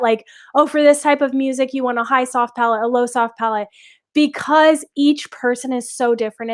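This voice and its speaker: A young woman teaching a live online singing class, chatting upbeat with viewers and answering their questions. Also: high voice.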